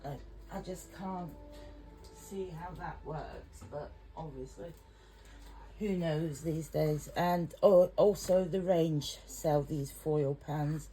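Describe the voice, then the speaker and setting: A middle-aged English woman rambles in a chatty, slightly self-conscious way in her home kitchen. Her voice sits fairly low and she talks unhurriedly. The recording carries a clear layer of background noise. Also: takes it slowly, dark tone, low-quality recording. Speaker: home cook and carer. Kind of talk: casual cooking vlog.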